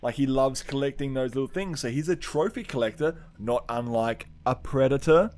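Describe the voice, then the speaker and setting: A man's voice: medium pitch, expressive, moderate pace, darkish tone. Informal man riffing on a podcast. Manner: informal, riffs in earnest on absurd theories, says dude often. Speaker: podcast co-host. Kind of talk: casual comedic chat, pop-culture debate.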